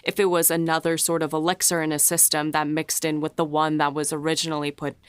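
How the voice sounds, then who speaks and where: A woman's voice: deep voice, little pitch movement, quick pace, clear, resonant tone, no echo. A woman co-hosting a casual discussion, speaking in a thoughtful, tentative way. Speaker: writer and podcast co-host.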